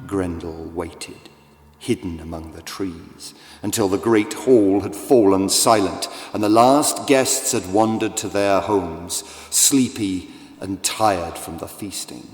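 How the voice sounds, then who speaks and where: Slow, echoing male storyteller. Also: low-pitched. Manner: theatrical, deliberate, with big swells and hushes. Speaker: audiobook narrator. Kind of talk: dramatic scripted storytelling for children. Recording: echoey, long reverb, music behind.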